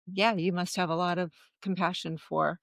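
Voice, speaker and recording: A man's voice: high voice, little pitch movement, normal speed, gravelly, fairly bright tone, no room sound. A man hosting an interview podcast, asking rambling, hedging questions in casual chat. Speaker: podcast host.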